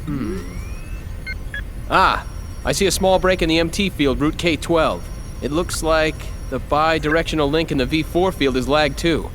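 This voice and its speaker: A man voicing an anxious, excitable young male lab assistant in a video game cutscene. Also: on the high side, moderate pace, a little hiss or hum, lightly acted, rough recording. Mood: dutiful, focused. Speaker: game character voice actor. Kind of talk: English-language video game cutscene acting. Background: loud music.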